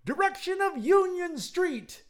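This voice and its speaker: A squeaky, bellowing streetcar conductor. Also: male voice, expressive, a little slow. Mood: announcing. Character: loud high-voiced streetcar conductor, male.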